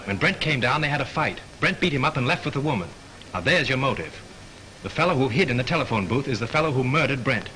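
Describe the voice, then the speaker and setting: A fast-talking young man playing detective, his tone bright and forceful as he lays out the case with easy confidence. Classic early talkie delivery. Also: mid-range voice, animated, slight background noise, rough recording. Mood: confident. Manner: brisk, confident explaining. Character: sharp young male mystery writer, sleuthing. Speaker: mystery writer turned sleuth. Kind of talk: 1930s film acting.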